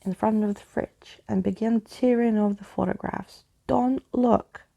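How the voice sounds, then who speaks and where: Woman doing asmr storytime, soft spoken, dark mellow tone w lots of vocal fry. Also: mid-range voice, steady tone, normal speed, slight background noise.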